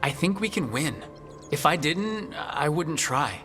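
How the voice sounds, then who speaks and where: An actor playing a determined, reassuring young hero in a video game, speaking in an earnest, measured way. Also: male voice, a little high, expressive, pushed and bright, soft background music. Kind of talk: dramatic video game acting.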